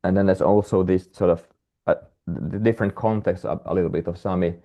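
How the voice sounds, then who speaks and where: Low-voiced Finnish man, halting. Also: fairly even intonation, mellow. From Finland. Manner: hesitant, many restarts and fillers. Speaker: guest researcher. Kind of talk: webinar conversation. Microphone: video call.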